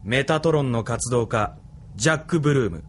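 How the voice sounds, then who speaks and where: A man plays a military officer in a Japanese radio play, coldly polite and smug. His mid-pitched delivery stays controlled and mocking, over a faint bed of noise. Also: expressive, fairly quick, lightly acted. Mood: smug, composed. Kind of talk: Japanese audio drama acting.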